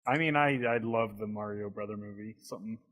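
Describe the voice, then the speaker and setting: A man's voice: on the low side, a little fast, fairly bright tone. A man in a casual podcast chat, offering opinions in a tentative, hedging way.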